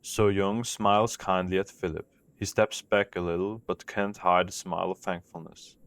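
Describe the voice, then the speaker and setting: A male narrator with a deep, low voice. He reads scripted audio drama narration, telling the story in the third person. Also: little pitch movement, moderate pace.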